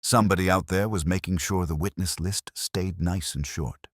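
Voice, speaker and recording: A man's voice: low voice, moderate pace, dry recording, clean studio sound. A man narrating a hardboiled first-person detective story in the manner of an audiobook.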